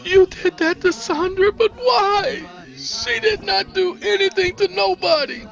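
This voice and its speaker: A man's voice: very high voice, fairly even intonation, unhurried, vocal fry, bright, forceful tone, highs cut off, faint music behind, pitched above the performer's own voice, heavily acted. A man voicing a frightened young woman, pleading with urgency in an acted drama. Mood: terrified, tearful.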